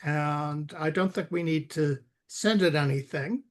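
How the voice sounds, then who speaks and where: A man giving informal step-by-step coding instruction, halting and repeating words as he guides someone through it. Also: fairly high pitch. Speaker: software expert guiding a coding session.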